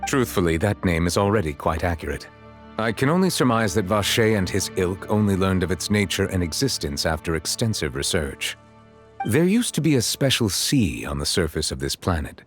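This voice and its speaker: A dignified chief judge in a fantasy video game. His voice sits low, and he speaks with solemn courtesy in measured, erudite phrases. Soft music plays underneath. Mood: grave, courteous. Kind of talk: scripted game dialogue.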